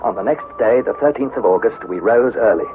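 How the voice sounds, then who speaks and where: Young male adventurer-narrator, played by an actor, formal, highly animated delivery, vintage radio drama, tinny noisy recording. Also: a little fast, over music, close to an everyday voice. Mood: earnest. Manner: formal, precise, dramatic storytelling. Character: Harry, earnest young adventurer narrating his perilous journey.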